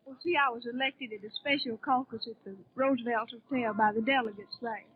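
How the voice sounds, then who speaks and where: A woman's voice: a little high, fairly even intonation, fairly quick. An eighteen-year-old woman from Tennessee answering a 1930s radio interview in a shy, polite, brief way. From Tennessee, United States. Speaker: young convention delegate being interviewed. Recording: tinny, noisy 1930s radio broadcast.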